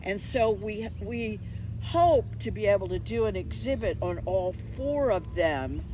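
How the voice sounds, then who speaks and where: A woman with a mid-pitched voice hosts a casual live interview outdoors. She talks in a halting, conversational way, rephrasing as she goes. Street and vehicle noise is clearly audible behind her. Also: animated, warm tone, rough recording. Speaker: interviewer and host. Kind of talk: unscripted live interview, chatty.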